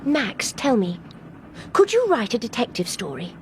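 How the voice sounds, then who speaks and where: A woman's voice: on the high side, big pitch swings, unhurried, slight background noise. An actress playing an earnest, headstrong young Englishwoman, urgent and emphatic, in a British radio drama. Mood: insistent.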